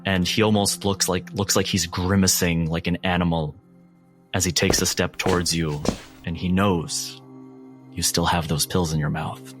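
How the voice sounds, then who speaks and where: A man narrating a horror tabletop roleplay as game master, in a measured, suspenseful way with deliberate pauses. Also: low voice, little pitch movement. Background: background music.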